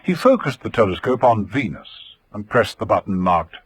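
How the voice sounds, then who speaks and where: A man's voice: lowish voice, big pitch swings, moderate pace, husky, dry recording. A man narrating a detective radio drama in scripted, dramatic storytelling style. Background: music.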